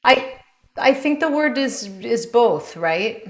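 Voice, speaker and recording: A woman teacher answering questions in an informal, thoughtful, halting way, often checking with "right?", on a video call. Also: on the high side, animated. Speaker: yoga teacher. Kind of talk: informal question-and-answer chat after class. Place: indoor room on a group video call. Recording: roomy, echoing video call sound.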